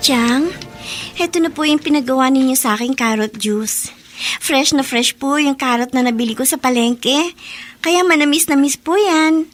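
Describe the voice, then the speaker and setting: Deferential young niece played by an actress, radio drama, somewhat high voice, hesitant delivery. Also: female voice, normal speed, soft background music, close to an everyday voice. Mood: polite, hesitant. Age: young adult. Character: polite, timid young niece asking for school money.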